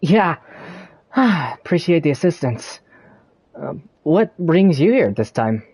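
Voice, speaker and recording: A man's voice: a little high, sing-song, slow, darkish tone, husky, no echo, exaggerated voice. A man playing a laid-back young adventurer hero in an anime game. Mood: casual, grateful.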